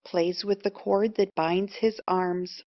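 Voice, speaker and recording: A woman's voice: medium pitch, steady tone, takes it slowly. A woman narrator reading stage directions for a scripted play. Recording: muffled lo-fi audio.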